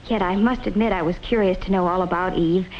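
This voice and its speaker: A woman's voice: fairly low pitch, steady tone, fast talker, close to an everyday voice. An actress playing a thoughtful young college woman who narrates in the first person in a 1950s film. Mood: reflective. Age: young adult. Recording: muffled old film soundtrack, faint hiss.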